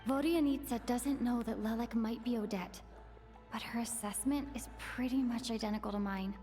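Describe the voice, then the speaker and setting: An actress voices a young heroine quietly working through her doubts in a game story. Her fairly high female voice is hesitant and reflective, carried on a long reverb with music underneath. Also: normal speed, natural voice. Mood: pensive, doubtful. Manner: hesitant, reflective inner monologue. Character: thoughtful, uncertain young heroine weighing suspicions. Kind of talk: video game voice acting.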